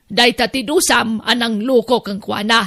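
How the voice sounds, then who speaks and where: A woman's voice: a little high, steady tone, normal speed, fairly bright tone, no room sound, studio quality. A woman with an Ilocano accent playing a stern, reproachful mother who scolds and moralizes in an audio drama.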